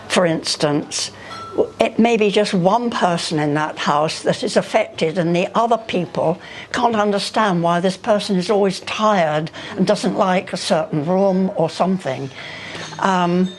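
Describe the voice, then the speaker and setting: An older woman speaking unscripted at a live talk, hesitant and often searching for her words. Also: medium pitch. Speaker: speaker at a public conversation. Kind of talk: unscripted talk answering questions.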